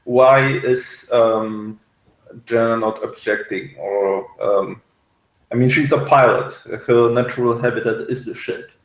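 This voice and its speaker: Slow, hesitant man in an echoey room. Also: medium pitch, fairly even intonation. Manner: hesitant, halting, searching for words. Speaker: reviewer on a discussion show. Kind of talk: casual discussion of a TV series.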